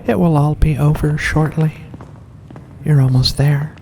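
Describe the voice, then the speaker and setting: A performer voicing a mysterious, seductive vampire in a slow, deliberate ASMR roleplay, courteous and teasing. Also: mid-range voice, fairly even intonation, mellow, close to an everyday voice. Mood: eerie, hypnotic. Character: seductive mysterious vampire host luring in a guest. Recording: faint background noise.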